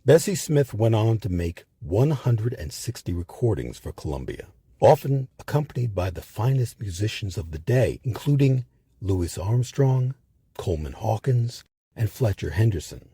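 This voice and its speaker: A man hosting a history series, reading scripted documentary narration with measured, emphatic phrasing. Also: on the low side, very animated, warm tone, breathy edge, dry recording.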